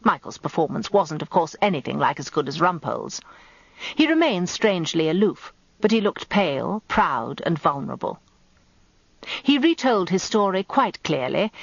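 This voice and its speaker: British female narrator, older, prim and precise. Lively intonation, close dry sound, radio drama storytelling. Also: fairly low pitch, no room sound, highs cut off. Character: proper, tart older English barrister's wife recounting events. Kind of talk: first-person dramatic narration, confiding storytelling.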